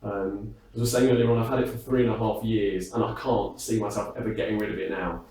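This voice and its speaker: A man's voice: on the low side, fairly even intonation, quick pace, a little mellow. A British man being interviewed backstage, talking casually in a chatty, self-deprecating and rambling way with frequent hesitations. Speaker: interviewed singer and entertainer. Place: backstage at a music hall. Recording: echoey room, distant microphone.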